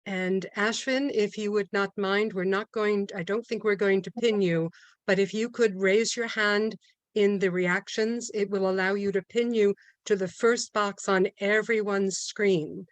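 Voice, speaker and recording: Woman, online meeting host, slow and formal delivery, dry video-call sound. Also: medium pitch, steady tone, no echo. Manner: measured, formal. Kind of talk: introducing a speaker.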